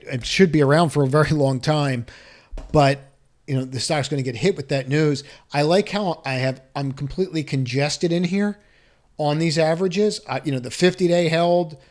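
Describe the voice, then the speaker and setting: A man's voice: fairly high pitch, darkish tone. A man walking through stock charts on a screen share, thinking aloud with cautious advice, restarts and rhetorical questions. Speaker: stock market analyst.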